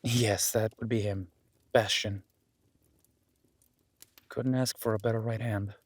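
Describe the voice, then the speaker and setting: A man's voice: fairly low pitch, steady tone, slow pace, no room sound. A man playing an awkward, self-deprecating young werewolf duke in a roleplay audio drama, stammering and apologetic, speaking informally to the listener. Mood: earnest, reassuring.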